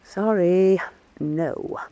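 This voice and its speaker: A woman's voice: on the low side, very slow, mellow, no echo, lo-fi sound. An actress playing a coarse, combative woman painter in a historical radio drama, mocking and emphatic. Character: blunt, coarse, defiant Venetian woman painter. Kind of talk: full-cast historical radio drama acting.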